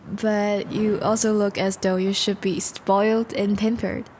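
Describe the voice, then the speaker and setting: A woman playing a charming, once-noble vampire in a romantic audio roleplay, speaking formally and intimately to the listener, with a soft, hesitant manner. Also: medium pitch, flat delivery, a little slow, a little hiss or hum, natural voice. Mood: gracious, flirtatious. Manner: formal, courteous, hesitant, soft-spoken confessions.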